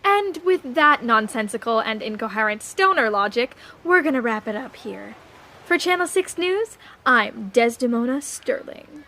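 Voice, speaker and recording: Woman playing a haughty, high-voiced young reporter. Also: animated, moderate pace, pushed and bright. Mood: dismissive. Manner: haughty, cutting. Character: vain, snobbish young woman news reporter. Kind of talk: audio drama character acting.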